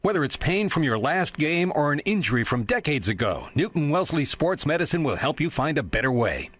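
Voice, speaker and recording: A man with a fairly high voice reads scripted radio ad copy for a health service. His intonation is lively and varied. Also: a little fast, husky, no room sound. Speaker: advertisement announcer.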